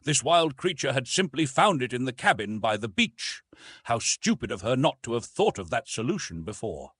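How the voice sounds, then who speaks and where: A man narrates a classic adventure story in a measured, literary way. His pitch moves widely and expressively through each sentence, and his voice has a husky edge. The sound is dry and close. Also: sing-song, a bit bright, dry recording. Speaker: audiobook narrator.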